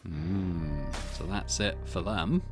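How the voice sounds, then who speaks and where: A man hosting a gaming playthrough, conversational in his commentary and expressive when reading the story text aloud. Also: deep voice, takes it slowly, over music. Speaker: gaming channel host.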